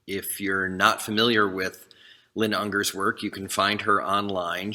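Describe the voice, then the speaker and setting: A man introducing and reading a poem aloud to a congregation, measured and deliberate. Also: on the low side, pushed and bright. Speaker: congregation service leader. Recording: long reverberant hall echo.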